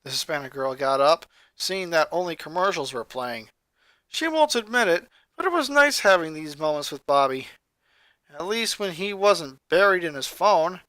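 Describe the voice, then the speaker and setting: A man reading a story aloud as scripted narration, with dramatic, expressive delivery. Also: fairly high pitch, moderate pace, dry recording. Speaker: narrator, fan fiction reader.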